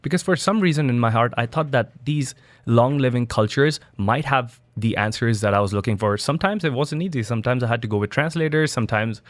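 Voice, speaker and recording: Relaxed man talking in a dry studio. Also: mid-range voice, brisk, no echo. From Dubai. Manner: loose, conversational, with restarts and fillers. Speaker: studio guest, biohacking entrepreneur. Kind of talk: casual interview answers.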